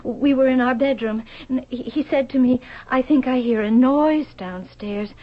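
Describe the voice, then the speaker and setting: Lady playing flustered showgirl wife, old radio drama, high voice, halting, muffled lofi. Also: close to an everyday voice. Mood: shaken, halting. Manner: halting, breathless repetition. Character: flustered, put-upon showgirl wife turned murderess. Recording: dull lo-fi radio broadcast recording.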